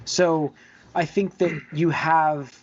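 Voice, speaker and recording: A man's voice: fairly high pitch, steady tone, slow, a little hiss or hum. A man co-hosting a casual podcast, stating his views firmly in an opinionated way. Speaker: podcast co-host. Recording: dull, lo-fi audio.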